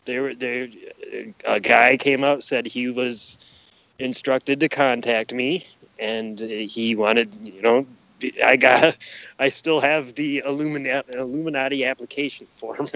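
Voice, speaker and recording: A man talks as a guest on a podcast, in a mid-pitched voice. He explains in a casual but emphatic way, circling back to repeat his phrases. The audio is muffled and dry. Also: steady tone, unhurried, fairly bright tone, no echo. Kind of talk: casual explanatory interview talk.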